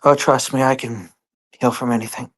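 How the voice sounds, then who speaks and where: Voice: medium pitch, fairly even intonation, moderate pace. A player in a tabletop roleplaying game, speaking in character in conversation.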